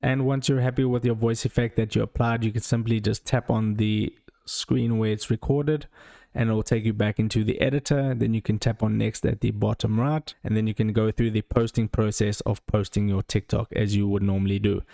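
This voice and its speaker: A man's voice: on the low side, fairly even intonation, a little fast, vocal fry, dark tone. A young man hosting a tech tutorial, explaining steps plainly into a handheld foam-covered microphone in a small room. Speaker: tutorial host. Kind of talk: screen-recorded tech tutorial.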